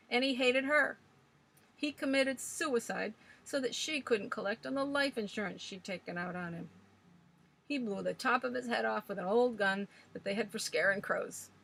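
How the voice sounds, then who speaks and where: A woman reading aloud voices a cantankerous old rustic man who speaks loudly with scornful bluster and broken country grammar. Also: medium pitch, vocal fry, rough recording. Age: older.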